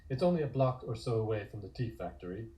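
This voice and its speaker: A man's voice: lowish voice, lively intonation, quick pace, warm tone. A man narrating scripted scenes in the present tense for a comic table read.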